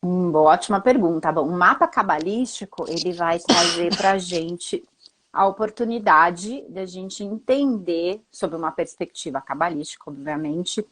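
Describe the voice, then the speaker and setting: A middle-aged woman with a voice of middling pitch, still hoarse after a cold. She speaks as a guest astrologer, laying out her explanations calmly and in measured order. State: hoarse, getting over a cold.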